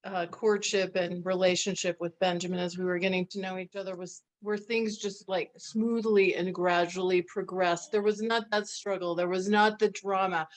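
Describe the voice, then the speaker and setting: A woman's voice: lowish voice, flat delivery, normal speed, hardly any pauses. A middle-aged woman hosting a conversational interview, chatty and given to repeating phrases for emphasis. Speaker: host.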